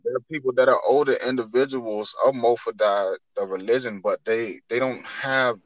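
A man's voice: medium pitch, fairly even intonation, moderate pace, rough recording. A man in his twenties speaking earnestly on spiritual matters in conversation, often repeating and rephrasing as he builds a point. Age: 20s.

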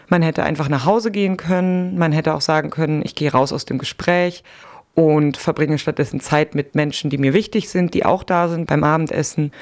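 A woman hosting a podcast, reading her scripted narration in a calm, explanatory way. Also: deep voice. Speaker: podcast host.

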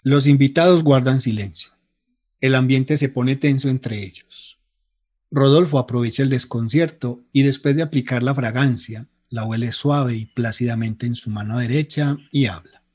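A man's voice: medium pitch, normal speed, darkish tone. A man reading scripted narration for a radio drama.

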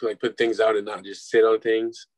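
A relaxed young man from Milwaukee chats as an interview guest, calling people "bro" and trailing into easy laughs. His voice sits a bit low. The sound is dry and close, with almost no room around it. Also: moderate pace, no echo. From Milwaukee, Wisconsin, United States. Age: 20s. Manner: laid-back, says "bro", laughs between phrases. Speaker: guest, dubstep producer and DJ. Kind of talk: casual interview chat.

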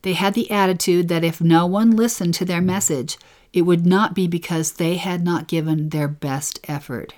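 A woman co-teaching a devotional scripture lesson in a gentle, earnest way, lingering on key phrases. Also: lowish voice, steady tone, moderate pace.

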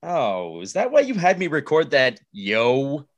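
A man's voice: medium pitch, wildly swooping pitch, a little slow. A man hosting a podcast in scripted comedic banter, sounding exasperated. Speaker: podcast host.

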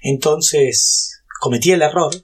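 A man in his fifties with an Argentine Spanish accent, Portuguese-speaking from childhood, telling his story at length in a relaxed interview, with many fillers and restarts. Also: on the high side, takes it slowly, breathy edge. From Brazil. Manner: talkative, frequent fillers and restarts. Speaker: guest, YouTuber and entrepreneur. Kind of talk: casual interview.

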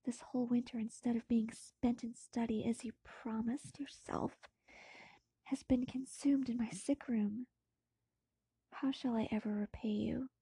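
A woman voicing an emotional, convalescent young gentleman in a storybook reading, with effusive, formal phrasing. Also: fairly high pitch, fairly even intonation, measured pace, breathy edge, no echo, voice pushed up, heavily acted, low-quality recording. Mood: grateful, remorseful. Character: Victor Frankenstein, emotional young gentleman recovering from illness.

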